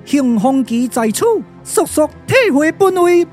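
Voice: sits high, animated, measured pace. A performer voicing a stern warrior woman in a Taiwanese puppet radio drama, declamatory and commanding, with a Taiwanese accent. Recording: music under the voice.

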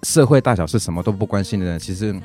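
A man with a Taiwanese Mandarin accent talks casually as an interview guest. His voice sits fairly low and moves quickly, earnest and emphatic, circling back on phrases. Soft guitar music plays behind him. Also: lively intonation. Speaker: guest, social activist.

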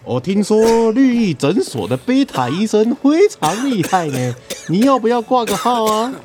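A man's voice: sits high, big pitch swings, background noise. A man playing a chatty, gossipy colleague in an audio drama, coaxing and nagging in a friendly way. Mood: chummy, nagging. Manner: coaxing, chummy, drawn-out particles.

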